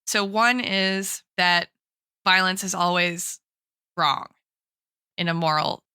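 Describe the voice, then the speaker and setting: Bright, crisp-voiced woman thinking aloud. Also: fairly low pitch, slow pace, no echo, clean studio sound. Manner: thinking aloud, checks in with "right?". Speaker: podcast co-host and guest expert. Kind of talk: casual analytical podcast discussion.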